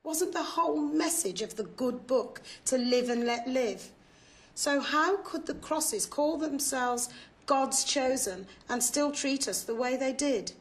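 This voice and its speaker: A woman giving a measured, deliberate dramatic reading aloud from a novel. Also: sits high, animated.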